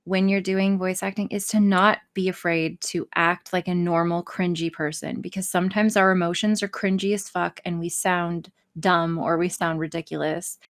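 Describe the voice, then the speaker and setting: A woman's voice: on the low side, fairly even intonation, moderate pace, few pauses. A woman voice actress chatting casually and candidly as an interview guest, frank, self-deprecating and free with swearing. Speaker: voice actress and audio creator being interviewed.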